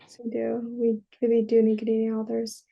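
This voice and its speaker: A woman's voice: fairly high pitch, completely flat delivery, a little fast, vocal fry, highs cut off. A woman interviewing a writer for a literary journal, asking friendly questions with hesitant phrasing.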